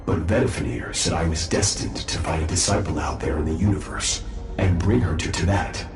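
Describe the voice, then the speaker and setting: An actor playing a powerful, self-assured master warrior in a video game, aloof and formal, with a measured, lofty delivery. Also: male voice, very deep voice, roomy sound, soft background music. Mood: calm, lofty. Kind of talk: dramatic video game voice acting.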